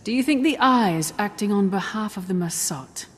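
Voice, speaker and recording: A woman's voice: medium pitch, animated, moderate pace, slightly rough. An actress playing a serious, concerned woman adventurer in a video game, speaking in an earnest, questioning way. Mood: concerned wonder. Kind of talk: video game character dialogue.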